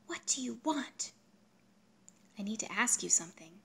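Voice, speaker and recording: A woman's voice: a little high, animated, normal speed, gravelly, a bit bright, slightly rough, heavily acted. A woman voicing an indignant, guarded young woman in a story, curt, firm and exasperated. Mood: hushed indignation.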